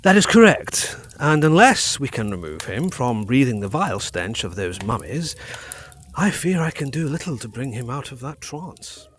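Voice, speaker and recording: An actor playing a grave, concerned doctor in a gothic audio drama, speaking formally. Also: male voice, mid-range voice, very animated, close to an everyday voice. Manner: formal, measured. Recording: faint ambient noise behind voice.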